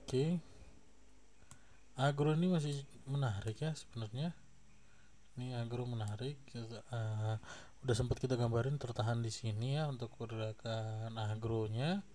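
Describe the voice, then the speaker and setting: A man's voice: medium pitch, moderate pace, pauses often, a little hiss or hum. A man hosting a stock channel, casually walking through charts with many fillers and a slight cough. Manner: relaxed, many fillers and tag questions. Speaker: stock market channel host.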